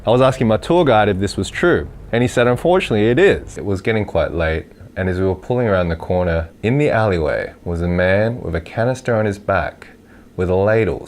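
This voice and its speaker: A man's voice: fairly low pitch, a little mellow. A young Australian man telling stories in a casual, conversational vlog. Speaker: vlogger.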